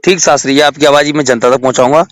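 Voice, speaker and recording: A man interviewing someone on a call for his channel, polite and quick to acknowledge what he hears. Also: mid-range voice, steady tone, no room sound. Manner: polite, frequent acknowledging replies. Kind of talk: phone interview.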